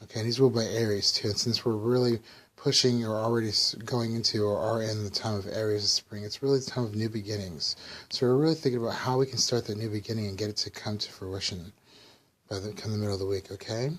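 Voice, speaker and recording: A man with a slightly raspy voice, casually talking through a weekly tarot reading with loose, rambling explanations. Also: lowish voice, fairly even intonation, quick pace, fairly bright tone. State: slightly raspy, under the weather. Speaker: tarot reader.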